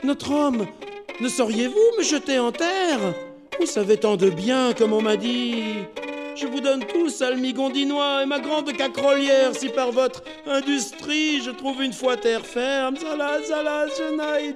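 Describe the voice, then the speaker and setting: High-pitched wailing coward. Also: male voice, steady tone, unhurried, over music. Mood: pleading terror. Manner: wailing, blubbering, stammering nonsense syllables. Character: cowardly whimpering man, babbling and pleading in a sea storm. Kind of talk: theatrical literary storytelling.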